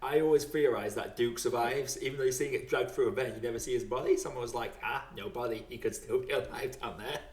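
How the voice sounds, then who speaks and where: A man's voice: mid-range voice, fast talker, few pauses, a little mellow. Echoey, chatty young British man. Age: 20s. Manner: chatty, jokey, laughs often while talking. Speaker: podcast host. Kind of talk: casual film chat. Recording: echoey room.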